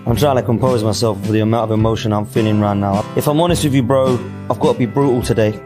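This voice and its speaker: A man's voice: lowish voice, fairly even intonation, fast talker, loud music behind the voice. A man reacting bluntly to his football team losing, with frustrated outbursts of disbelief. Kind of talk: fan reaction to a live match.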